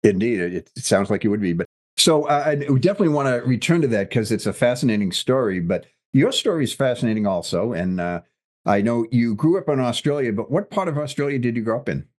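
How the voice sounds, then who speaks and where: A man's voice: mid-range voice, animated, fast, a little mellow. A man hosting an interview podcast who asks chatty, loosely rambling questions with some joking. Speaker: podcast host.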